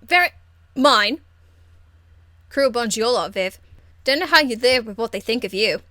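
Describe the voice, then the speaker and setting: A woman acts a brash young female rebel in a fantasy video game. She is cheeky, crude and excitable, blunt and slangy, with a high voice and a dry, close sound. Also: unhurried, no room sound. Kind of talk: video game character acting.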